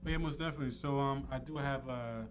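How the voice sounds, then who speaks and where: A man's voice: mid-range voice, quick pace, noisy background. A man in his thirties speaking informally as an interview guest, explaining what he offers with frequent "you know" and "um". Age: 30s. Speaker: interview guest, entrepreneur and financial educator. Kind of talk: casual talk, explaining his services. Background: plucked guitar music.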